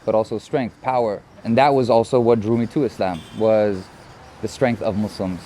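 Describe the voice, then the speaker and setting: An American man speaking reflectively in a documentary interview, measured and thoughtful, often saying "you know". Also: fairly low pitch, steady tone, warm tone, a little hiss or hum. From United States.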